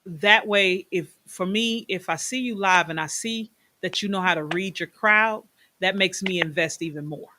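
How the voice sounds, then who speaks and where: A woman's voice: lowish voice, moderate pace, bright, forceful tone. Chatty middle-aged woman hosting over noise. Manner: chatty and enthusiastic, stretches words for emphasis. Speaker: host. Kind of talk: casual interview chat.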